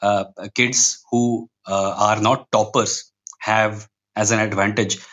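An Indian-accented man talks casually as a podcast guest. His manner is wry and opinionated, wandering into tangents and then making his points with emphasis. His voice sits at an ordinary male pitch. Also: a bit bright. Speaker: podcast guest, comic book writer.

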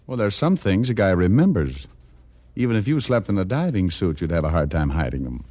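An actor playing a hard-boiled, wisecracking private detective in vintage radio noir, with a wry, deadpan, slangy delivery. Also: male voice, low voice, a little fast, no echo. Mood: wry, probing. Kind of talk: 1940s-50s radio noir acting and narration. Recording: vintage radio recording with music behind.

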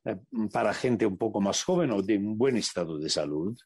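A man with an Italian accent, a native Italian speaker, giving a radio interview as a meditation teacher and often pausing to search for the right word. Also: on the low side, animated, a little mellow, breathy edge, no echo. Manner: searching for words, slowing to stress points. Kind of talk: reflective interview answers.

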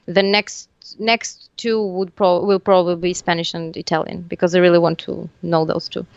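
A woman's voice: on the low side, flat delivery, moderate pace, creaky, no room sound, highs cut off. A woman whose first language is Serbian, a musician talking casually in an interview, hesitant with many fillers and restarts. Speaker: musician guest. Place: living in Tunisia.

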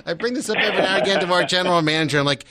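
A man with a fairly high voice hosts a radio interview, close on the mic with a very dry sound. His delivery is casual and chatty, like easy conversation. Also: very fast, bright, forceful tone, no room sound. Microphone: close studio radio microphone.

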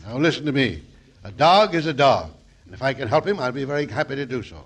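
An actor playing a pompous, sly, money-hungry veterinarian in 1940s radio comedy, speaking in a deliberate, grandiloquent way. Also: male voice, medium pitch, animated, husky. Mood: brisk, impatient. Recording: old 1940s radio broadcast.

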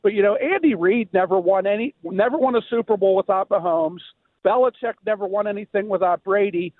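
An American man phoning a radio show with casual sports opinions, speaking in a halting way over a phone line. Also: high voice, dry recording. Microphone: telephone. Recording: narrow tinny phone line.

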